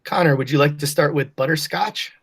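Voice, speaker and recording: A woman's voice: sits low, brisk, gravelly, slightly rough. A woman teacher leading an online class, speaking patiently and encouragingly as she prompts and praises her pupils. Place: online class video call. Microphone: video call.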